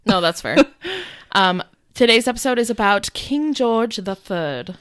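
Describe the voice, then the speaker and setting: A young adult woman co-hosting a podcast in casual chat. She talks loudly, laughs often and fills her talk with "like" and "um". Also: medium pitch, lively intonation, unhurried, clear, resonant tone, no echo, clean studio sound. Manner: loud, laughs often, chatty with fillers. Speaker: podcast co-host. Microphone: podcast microphone.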